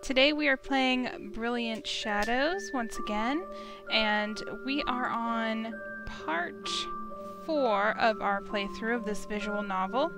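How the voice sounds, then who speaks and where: A woman's voice: fairly high pitch, slow, over music. A woman hosting a visual novel playthrough, talking casually to viewers. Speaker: game playthrough host. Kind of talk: casual let's-play talk and narration.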